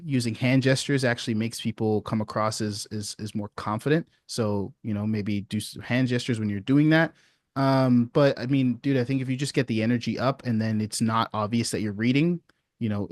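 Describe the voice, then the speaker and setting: A man's voice: mid-range voice, no room sound, clean studio sound. Laid-back male sales coach. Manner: relaxed, encouraging, says dude and man. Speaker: sales coach hosting a support call. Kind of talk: casual coaching feedback.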